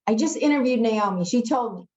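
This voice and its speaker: A woman's voice: on the high side, animated, brisk, darkish tone, roomy sound, muffled recording, a little hiss or hum, natural voice. Woman reading as pushy, sarcastic schoolboy editor. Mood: urgent. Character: pushy, sneering middle-school boy newspaper editor.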